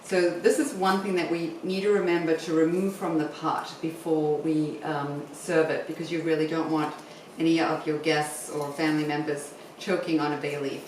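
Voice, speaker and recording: A middle-aged woman with a low voice talks through a recipe as a home cooking host. She is recorded at a distance in a very echoey kitchen and explains each step casually. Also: little pitch movement, normal speed, hardly any pauses, darkish tone. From Zimbabwe. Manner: instructive, talking while cooking. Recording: very echoey kitchen, distant mic, faint noise.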